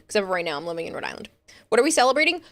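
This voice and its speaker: A woman's voice: mid-range voice, sing-song, rapid-fire, a bit bright. Young boston woman streaming, chatty and reassuring, talking to chat. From Massachusetts. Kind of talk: casual live-stream chat.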